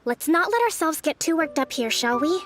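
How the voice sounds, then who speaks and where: An actress voicing a calm, dignified young female leader who speaks formally and diplomatically in a video game. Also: sits high, brisk. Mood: calm, firm.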